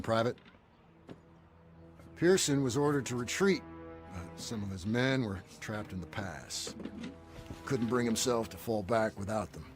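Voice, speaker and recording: An actor playing a weary, fatherly army officer in a war game cutscene, grave and measured. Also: mid-range voice, lightly acted. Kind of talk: dramatic game cutscene acting.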